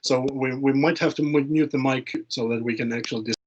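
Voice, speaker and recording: A man's voice: medium pitch, steady tone, a little fast, muffled recording. A man, speaking informally in a webinar discussion and often repeating words as he thinks. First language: Finnish. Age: late 20s.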